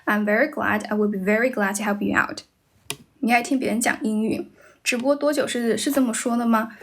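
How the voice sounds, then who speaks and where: A young woman from China with a Chinese accent in English, chatting casually on a livestream as an English teacher and thanking viewers as she goes. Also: fairly high pitch. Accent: Chinese, mixed with American and British.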